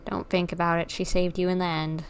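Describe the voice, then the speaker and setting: A woman's voice: fairly low pitch, flat delivery, fairly quick. A woman livestreaming a video game, chatting casually and thinking aloud in a rambling, informal way.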